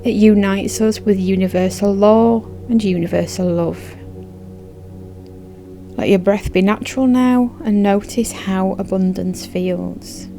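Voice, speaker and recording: A woman narrating a guided meditation in a soothing, measured, instructive manner. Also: mid-range voice, mellow. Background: background music.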